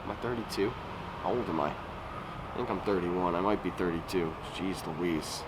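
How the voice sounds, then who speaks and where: A man's voice: sits low, normal speed. A man in his thirties talking casually in a rambling vlog, full of fillers and self-corrections, recorded outdoors at a resort recreation area. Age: 30s. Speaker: YouTuber. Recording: noisy outdoor recording with passing vehicles.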